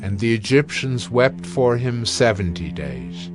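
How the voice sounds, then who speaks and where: A man delivers solemn scripted Bible narration in a medium register. His reading is measured and formal. Gentle music runs quietly behind the voice. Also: medium pitch, big pitch swings.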